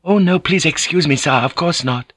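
A man's voice: medium pitch, moderate pace, fairly bright tone, no room sound, clean studio sound, pitched above the performer's own voice, natural voice. A man doing a deferential young noblewoman. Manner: polite, pleading, earnest. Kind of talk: audiobook character voice.

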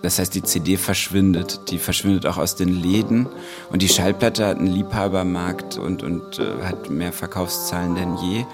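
Very low, halting male interviewee. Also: fairly even intonation, music in the background. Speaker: interviewed writer and band singer. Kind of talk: reflective interview talk.

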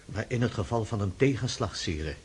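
An actor playing a gloomy, cautious French marshal in a radio drama, deferential, hesitant and subdued. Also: male voice, fairly low pitch, lively intonation, normal speed, breathy edge. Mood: doubtful, deferential.

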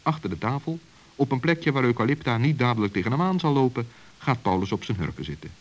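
A man's voice: mid-range voice, expressive, quick pace. A man narrating a scripted children's radio story on a hissy old recording.

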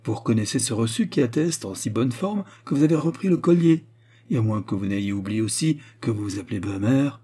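A man's voice: medium pitch, lively intonation, brisk, mellow, husky. A man voicing a haughty, imperious queen in a storybook reading, scornful and cutting. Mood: cold, cutting. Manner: imperious, scornful, mocking rhetorical questions.

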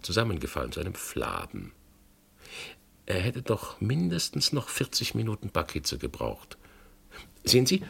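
An actor playing a shrewd, methodical police inspector in a German radio crime drama, reasoning insistently with a gravelly, husky voice. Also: male voice, sits low, a bit bright, exaggerated voice. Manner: deliberate, insistent, reasoning point by point.

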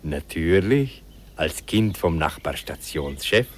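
Old country doctor, radio drama: low, husky, very animated intonation, patient and kindly. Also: male voice, slow, slight background noise. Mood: kindly, explaining. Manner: patient, explanatory. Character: kindly old Hungarian village doctor explaining local customs. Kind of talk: 1950s radio play acting.

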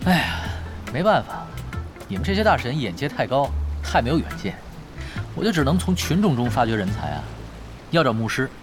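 An actor playing a shrewd, easygoing young pro gamer in an audio drama, teasing and measured. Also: male voice, mid-range voice, big pitch swings, lightly acted. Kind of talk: Mandarin audio drama acting. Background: prominent background music.

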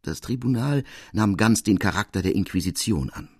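A man reading a classic literary tale as narrator, in measured, formal storytelling prose. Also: on the low side, lively intonation, husky, no echo, clean studio sound.

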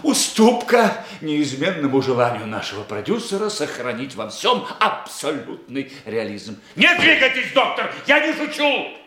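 An actor playing a cunning, jealous, embittered murderer in a theatrical Russian radio play, speaking emphatically with menace. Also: male voice, mid-range voice, sing-song, unhurried, hardly any pauses. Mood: menacing, boastful. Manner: emphatic, menacing, swinging between outbursts and hushed bitterness. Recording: roomy, echoing studio radio-play recording.

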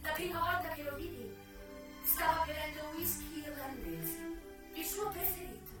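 An actress playing a worldly, frank and wry American brothel madam, telling her life in a dramatic radio theatre monologue that moves between hushed confiding and projected declaiming. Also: high-pitched, animated, moderate pace. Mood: nostalgic, vivid. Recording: echoey, distant stage sound with music. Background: music.

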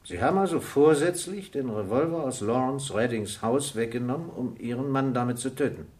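A man's voice: medium pitch, fairly quick, darkish tone, close to an everyday voice. An actor in a German radio crime drama playing a male police inspector, formal, probing and dryly insistent. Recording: echoey room sound.

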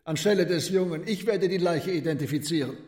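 Actor as resolute protective older guy, firm but polite, highish voice, echoey room, radio play. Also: fairly quick, very noisy, lightly acted. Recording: echoing room.

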